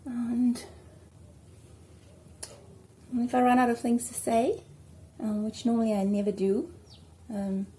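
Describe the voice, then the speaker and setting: A South African woman chatting casually at home while doing her hair, rambling and filling pauses with ums. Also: on the high side, flat delivery, warm tone. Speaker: vlogger. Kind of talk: casual hair tutorial chat.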